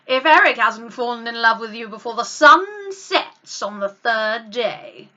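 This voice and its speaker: A wicked storybook sea witch, gloating and cackling. Her words are drawn out with theatrical menace, loud and forceful, with big swoops. The sound is slightly lo-fi. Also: fairly high pitch, animated, measured pace. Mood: scheming.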